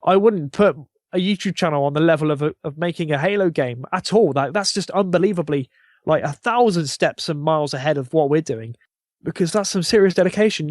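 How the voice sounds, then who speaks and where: A man's voice: a little high, dry recording, clean studio sound. A man chatting casually and enthusiastically, effusive and emphatic in the way he talks.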